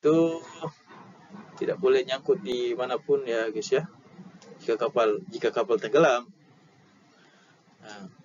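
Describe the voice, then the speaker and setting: Slow-talking Indonesian man, noisy lo-fi recording. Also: mid-range voice. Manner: halting, explanatory, repeats key terms. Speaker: maritime safety instructor. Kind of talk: informal slide lesson, reading and explaining. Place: indoor room. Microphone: headset at a computer. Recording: dull lo-fi audio with clear background noise.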